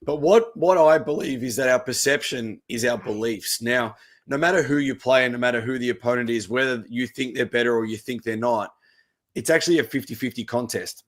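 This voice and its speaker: A man, a tennis coach, giving a relaxed presentation with a croaky voice. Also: mid-range voice, brisk. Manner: steady explaining, relaxed. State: hoarse.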